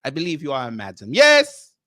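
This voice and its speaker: A man's voice: fairly high pitch, huge pitch swings, pushed and bright, no echo, studio quality, full character voice. A man hamming up a pompous Nigerian prince. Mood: grandiose. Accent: put-on Nigerian. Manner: grandiose, formal, slow and deliberate, greets viewers one by one. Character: pompous jet-setting self-proclaimed Nigerian prince, adult man. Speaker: livestream host. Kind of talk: comedic character livestream.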